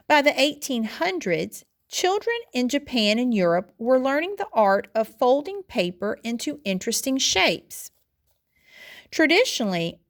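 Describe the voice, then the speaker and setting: A woman with a fairly high voice reads aloud in the style of a classroom lesson. Her delivery is instructional and deliberate, phrase by phrase, as a teacher guiding students along a text. She occasionally trips on a word and corrects it. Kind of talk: read-aloud lesson narration.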